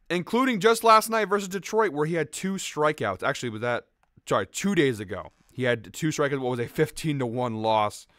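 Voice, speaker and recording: A man rattles off betting odds and stats at a brisk clip, his voice a touch raspy from a late night out. The sound is smooth and clear. It is recorded close in a dry room. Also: mid-range voice, a bit bright, dry recording. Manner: quick, upbeat, rattles off stats with fillers. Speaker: sports betting picks host. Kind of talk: fast casual betting-tips monologue.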